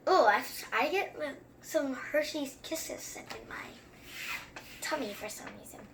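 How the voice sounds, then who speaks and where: A young-sounding story reader who reads a picture book aloud and chats through it with expressive, wandering asides. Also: high-pitched, unhurried, noisy background. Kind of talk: children's story time read-aloud with excited running commentary.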